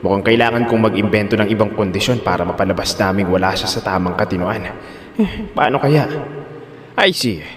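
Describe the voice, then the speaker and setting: A man's voice: fairly low pitch, brisk, crowd noise, voice pushed down, natural voice. A man voicing a calculating, professional-sounding doctor in a Filipino audio drama. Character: scheming male doctor, smooth and professional, secretly calculating.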